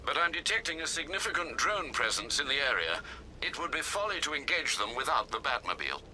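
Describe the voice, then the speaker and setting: Bright, formal elderly British butler. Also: male voice, medium pitch, sing-song, fairly quick, slight background noise, poor audio. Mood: dutiful. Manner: polite, precise, formal. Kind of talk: video game acting.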